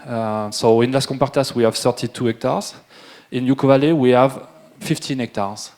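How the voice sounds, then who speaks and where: A middle-aged French man with a French accent, presenting at a wine seminar in a halting way, with many fillers and restarts. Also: medium pitch, a little mellow. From France. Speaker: winemaker. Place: event room with a live audience.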